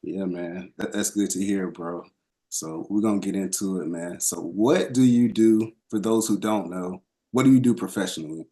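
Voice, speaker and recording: A man hosting a podcast, chatting with an old friend in an easygoing, slow, drawn-out way. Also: sits low, warm tone. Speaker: podcast host. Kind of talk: casual interview chat.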